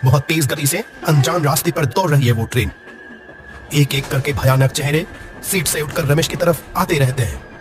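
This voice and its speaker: A man's voice: a little high, moderate pace, over music. A man narrating a scripted horror story dramatically.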